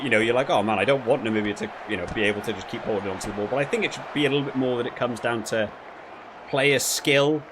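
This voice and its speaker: A man with a mid-range voice comments casually as he plays a video game. He thinks aloud in halting, repeated phrases and picks critically at what he sees. Faint noise sits behind his voice. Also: mid-range voice. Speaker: gaming video creator reviewing while playing.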